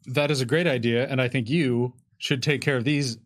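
A man's voice: mid-range voice, fairly even intonation, moderate pace. A man in his thirties casually explaining tabletop game rules in a hesitant, loosely phrased way. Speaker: game designer and co-host. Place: indoor studio with curtain backdrop. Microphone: microphone on desk stand.

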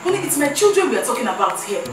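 A woman's voice: high-pitched, sing-song, racing, far from the mic. A woman playing a protective wife and mother, heated and firm. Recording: very echoey room, off-mic, music behind.

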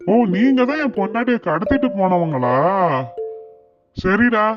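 A man's voice: high voice, lively intonation, unhurried. A man voicing an easygoing, dismissive husband and father who puts things off in a cartoon. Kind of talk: cartoon voice acting. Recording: dull lo-fi, music behind.

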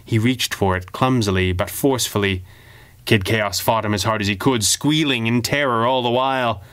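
A man reading a pulp adventure story as a dramatic, theatrical and expressive narrator. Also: lowish voice, normal speed. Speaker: audiobook narrator.

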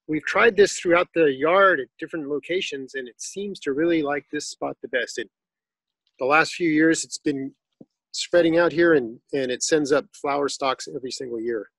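A man giving a casual tour of his backyard garden, explaining plants in a relaxed, informative way with some fillers. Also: a little high, moderate pace, creaky, slightly rough, no room sound, rough recording.

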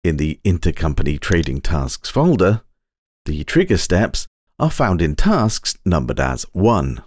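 Deep-voiced man narrating a software tutorial. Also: no echo, studio quality. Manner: measured, precise step-by-step instruction. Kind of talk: scripted instructional narration. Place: close, dry voice-over recording.

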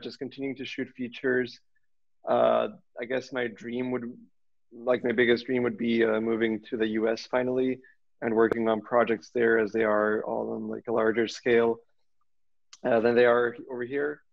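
A young man from Austria speaks casually on an online panel, somewhat hesitant. His voice is creaky and even in pitch, with dull video-call sound. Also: moderate pace. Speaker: panelist, film worker.

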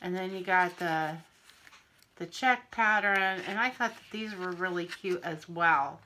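A middle-aged woman from Pennsylvania, a little wheezy and often clearing her throat, chatting through a craft haul on camera in her home kitchen. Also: fairly low pitch, sharp tone, a little hiss or hum. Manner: chatty, unhurried, friendly show-and-tell. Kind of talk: casual haul video. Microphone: camera in front at arm's length.

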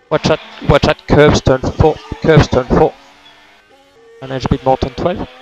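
A man working as a race engineer, giving terse, repeated instructions in a clipped manner over team radio. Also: on the high side. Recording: noisy motorsport team radio.

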